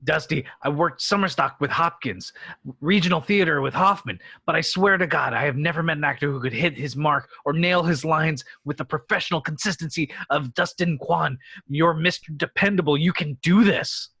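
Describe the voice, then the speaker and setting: A man's voice: fairly high pitch, normal speed, pushed and bright, slightly rough, dry recording, full character voice. A man playing a brash, commanding heroic leading man in a table read, urgent and earnest in his delivery. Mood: urgent encouragement.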